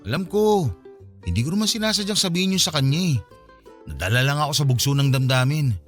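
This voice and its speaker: A man's voice: on the high side, expressive, fast talker, soft background music, close to an everyday voice. A man acting a frustrated young boyfriend in a Filipino radio drama, venting in a conversational, complaining way with a Filipino accent. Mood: regretful.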